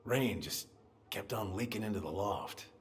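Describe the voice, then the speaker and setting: An actor playing a self-deprecating, grieving middle-aged American man in a video game drama, halting and folksy in manner. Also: lowish voice, roomy sound, close to an everyday voice. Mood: wistful reminiscing. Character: self-deprecating, grieving older man, old family friend.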